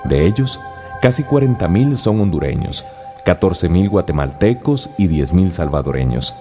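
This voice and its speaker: Male narrator, very low register, solemn yet expressive documentary read, music underneath. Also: normal speed. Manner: solemn, rhetorical.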